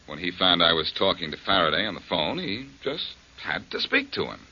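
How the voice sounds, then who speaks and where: A man's voice: sits low, very animated, brisk, bright, forceful tone, breathy edge, heavily acted. An actor playing a breezy, amused male detective in a 1940s radio drama, recorded on a muffled old broadcast. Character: wry, cocky male detective hero.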